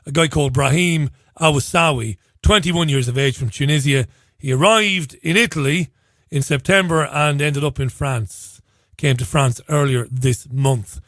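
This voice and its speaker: A hoarse man hosting a talk radio show, chatting through the day's stories with wry asides. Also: fairly high pitch, normal speed, a bit bright, no room sound, studio quality. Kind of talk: casual talk radio monologue.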